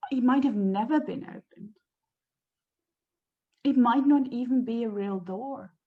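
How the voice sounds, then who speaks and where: A woman's voice: a little high, a little slow, narrow, tinny audio. A woman improvising a lone guard of an ancient door who rambles to herself in a musing way. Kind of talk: improvised monologue scene.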